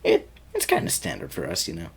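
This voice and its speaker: A man playing an easygoing, teasing young guy sweet on his friend, talking casually and haltingly in an audio roleplay. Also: sits low, wildly swooping pitch, husky, full character voice. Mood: teasing, nervous. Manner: halting and stammering, chuckling, casual slangy speech.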